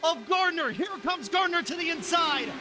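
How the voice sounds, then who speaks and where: An excited man calls a sim race lap by lap, his voice pitched high and pushed to a shout. The recording carries clear background noise. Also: moderate pace. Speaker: race commentator and host. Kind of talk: excited sim racing play-by-play. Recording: noisy stream audio.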